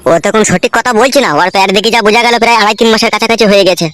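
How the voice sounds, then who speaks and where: A man's voice: high voice, steady tone, rapid-fire, a bit bright. A man playing an agitated, deferential young village man in a comedy cartoon, speaking urgently with a Bengali accent. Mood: alarmed, pleading.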